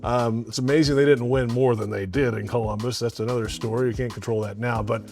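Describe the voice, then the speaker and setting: A laid-back male radio announcer talks casually at a middle pitch, trailing into fillers as he thinks. He chuckles now and then, and soft music plays low behind him. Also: fairly even intonation, quick pace. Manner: easygoing, laughs often, chatty with fillers. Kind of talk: casual interview.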